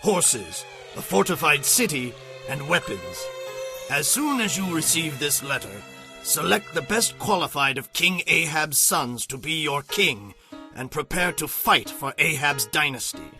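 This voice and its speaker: A man's voice: a little high, big pitch swings, measured pace, music in the background. An actor playing a commanding, ruthless warrior king in a dramatized audio Bible, with emphatic, declamatory delivery. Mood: stern, threatening.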